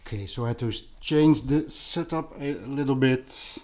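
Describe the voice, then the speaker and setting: A man with a non-native English accent chatting casually in a vlog as an audio hobbyist, halting and pausing mid-thought in an unhurried way. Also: mid-range voice, a little mellow. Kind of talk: casual vlog product chat.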